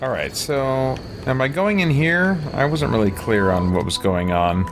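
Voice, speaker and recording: A man's voice: mid-range voice, expressive, a little slow, loud music behind the voice. A man hosting a livestream, chatting casually as he plays in a hesitant, musing, wry way. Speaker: game streamer, host. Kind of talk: casual livestream gameplay chat.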